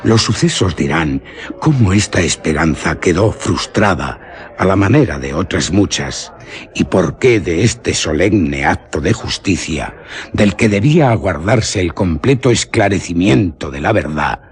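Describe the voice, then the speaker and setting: A male storyteller reads scripted suspense narration for radio. His voice is low, and his delivery is solemn and deliberate. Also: animated.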